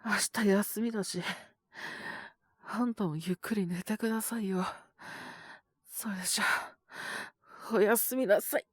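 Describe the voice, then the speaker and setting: A woman's voice: medium pitch, a little slow, dry recording, studio quality, natural voice. A woman voicing a sick, tired young male teacher in an audio drama, brusque and sighing, with a weary mutter. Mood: curt, weary. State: feverish, coughing. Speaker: voice actor. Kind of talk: Japanese situation-voice audio drama.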